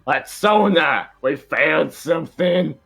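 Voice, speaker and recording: A man voicing a gruff, obsessive old boat captain with a blustering, emphatic way of talking in an amateur cartoon table read. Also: a little high, lively intonation, slow pace, a bit bright, faint music behind, pitched above the performer's own voice, full character voice. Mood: excited, shouting.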